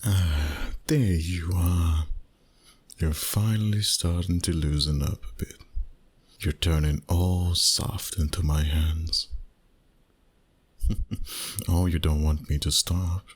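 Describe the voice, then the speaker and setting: A man voicing a flirty, dominant vampire boss in an intimate, teasing ASMR roleplay, soft and breathy. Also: low voice, steady tone, full character voice. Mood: hungry, seductive. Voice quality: breathy, husky, often near a whisper. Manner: slow, soft, teasing seduction.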